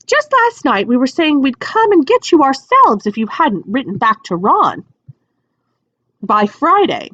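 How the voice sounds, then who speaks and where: A woman's voice: high-pitched, sing-song, a little slow, sharp tone, no room sound, muffled recording, pitched above the performer's own voice, heavily acted. Lady doing a fussy snappy witch mom from a kids book, voice swings up and down, bossy but loving. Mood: worried scolding. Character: scolding, bustling, fond mother witch.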